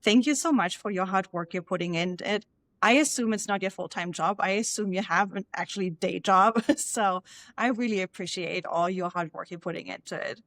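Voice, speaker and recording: A woman with a German accent hosting a podcast interview, chatty and quick to laugh. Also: medium pitch, dry recording. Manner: chatty, warm praise, laughs often. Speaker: podcast host. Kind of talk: casual interview chat.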